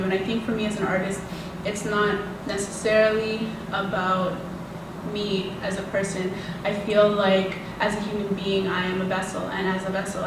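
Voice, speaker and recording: A woman artist speaking earnestly and reflectively on a panel. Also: mid-range voice. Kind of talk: panel discussion answer. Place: large assembly hall. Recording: echoey hall from far off, background noise.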